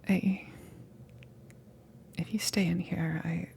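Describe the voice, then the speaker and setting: A woman voicing a flustered, needy female alien crewmate in an ASMR roleplay, with halting, nervous, breathy whispers. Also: lowish voice, level tone, a little mellow, slight background noise, heavily acted. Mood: flustered, hesitant.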